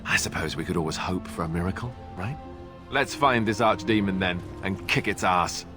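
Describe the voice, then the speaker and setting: An actor playing a loyal, self-deprecating young knight in a video game, sincere and plain-spoken. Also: male voice, sits low, normal speed, over music, lightly acted. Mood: sincere resolve.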